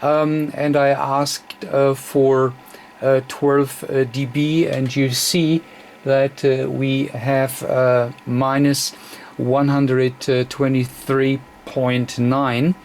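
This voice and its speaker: A man giving an unscripted technical demonstration as a radio technician, speaking in a halting, fragmented way with very frequent hesitations. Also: mid-range voice, little pitch movement, a little mellow. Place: indoor electronics test bench. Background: radio receiver noise.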